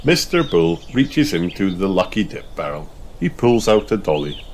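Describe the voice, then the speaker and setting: A man narrating a children's storybook in an expressive, deliberate read-aloud style. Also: low voice, slight background noise.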